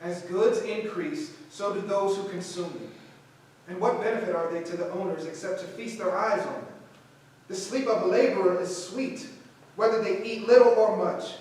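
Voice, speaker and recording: A man's voice: sits high, moderate pace, a little mellow, close to an everyday voice. A man with an American accent playing a proud, principled, struggling idealist in staged theatrical dialogue. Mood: solemn. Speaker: actor in a staged reading. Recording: very echoey hall, distant microphone.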